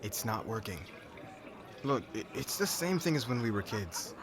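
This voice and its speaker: A man playing a brash, lovelorn young warrior groom in an ASMR audio drama, halting and informal, sounding exasperated. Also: on the low side, very animated, a crowd in the background. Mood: dejected, pleading.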